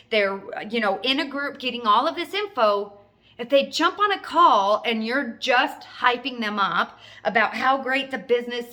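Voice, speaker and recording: A woman's voice: a little high, sharp tone. A woman giving an informal sales training talk as a coach, emphatic and instructive, repeating key phrases for stress. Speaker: network marketing coach.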